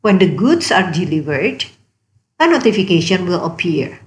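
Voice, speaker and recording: A woman reading a scripted instructional narration in a measured, explanatory manner. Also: on the low side, big pitch swings. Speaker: tutorial narrator.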